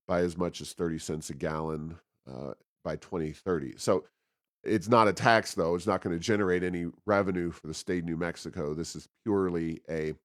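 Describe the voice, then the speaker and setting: Deep-voiced man discussing policy. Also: dry recording, studio quality. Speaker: policy talk show host. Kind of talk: unscripted policy commentary.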